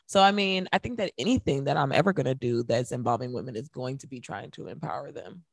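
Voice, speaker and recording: A woman's voice: very deep voice, fast, vocal fry, no room sound, clean studio sound. A young adult woman co-hosting a radio show, chatting casually about music in an emphatic, sassy, colloquial and opinionated way.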